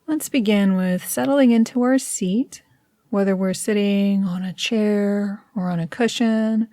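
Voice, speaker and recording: A woman leading a scripted guided meditation in a soothing, measured, instructional manner. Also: mid-range voice, darkish tone, no room sound, studio quality.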